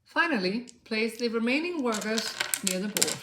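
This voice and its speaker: A woman's voice: fairly high pitch, animated, moderate pace, noisy background. A woman narrating board game setup instructions. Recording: roomy, echoey sound.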